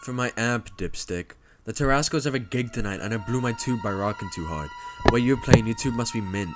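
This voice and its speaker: A man's voice: mid-range voice, a little fast, close to an everyday voice. A man playing a swaggering teenage bully in a motion comic, blunt, taunting and threatening. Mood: cocky. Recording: music behind the voice.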